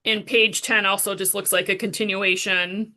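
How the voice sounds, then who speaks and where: Woman, prosecuting attorney, bright mid-pitched voice, formal procedural courtroom questioning. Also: little pitch movement, moderate pace.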